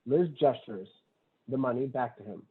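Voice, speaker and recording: A man's voice: medium pitch, normal speed, slightly rough. Guy narrating script directions, matter of fact, thin tinny call audio, a bit muffled. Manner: matter-of-fact, clipped short sentences. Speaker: stage directions reader at a table read. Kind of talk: screenplay narration, read aloud.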